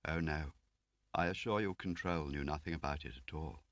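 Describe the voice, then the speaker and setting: Guy voicing an old spymaster, understated and precise, low voice, very dry recording, asks things carefully. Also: normal speed, a bit bright, dry recording. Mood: dry, courteous. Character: quiet, measured, ageing male spymaster, probing and courteous.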